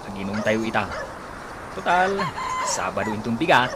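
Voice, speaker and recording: A man's voice: lowish voice, very animated, moderate pace. A man playing a stingy, hard-drinking grown son in an Ilocano radio comedy drama, grunting and grumbling with an Ilocano accent. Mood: boastful, stubborn. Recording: noisy, birds and animal sounds behind.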